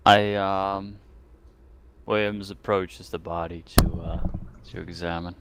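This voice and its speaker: A man's voice: sits low, little pitch movement, slow pace, heavy background noise. A man taking part in a tabletop roleplaying game as a player, talking casually.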